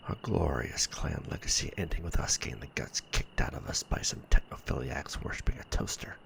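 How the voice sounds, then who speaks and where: An actor playing a weary, bitter stranded mech warrior, muttering a sci-fi audio log in a breathy, gravelly murmur with sighs and hesitant pauses. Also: male voice, rumbling low, animated, a bit bright, slight background noise, heavily acted. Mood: bitter resignation. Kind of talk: sci-fi ASMR roleplay audio log monologue.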